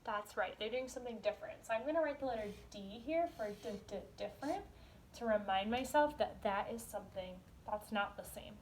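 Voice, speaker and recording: A woman's voice: on the high side, a little hiss or hum. A woman kindergarten teacher leading a read-aloud lesson, explaining and posing questions to young children as she goes. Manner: instructional, asks questions and pauses for answers.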